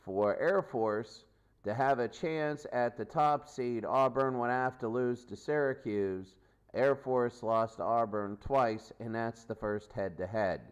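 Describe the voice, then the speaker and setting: A man's voice: medium pitch, slow pace, clean tone. A man hosting a sports pregame show with unscripted, off-the-cuff commentary that repeats itself. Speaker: sports host and announcer.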